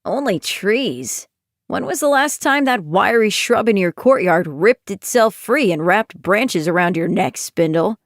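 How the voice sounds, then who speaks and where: A woman's voice: fairly high pitch, monotone, normal speed, gravelly, few pauses, smooth, dry recording, studio quality, voice pushed up. A woman voicing a grown man who speaks in a pointed, snide way.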